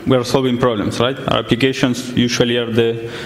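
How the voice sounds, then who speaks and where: A man's voice: medium pitch, fairly quick, slight background noise. Young man speaking in an echoey hall. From Argentina. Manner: relaxed, plain explanations with frequent fillers. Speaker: conference speaker. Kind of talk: tech conference talk. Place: large conference hall. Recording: echoey hall, some distance from mic.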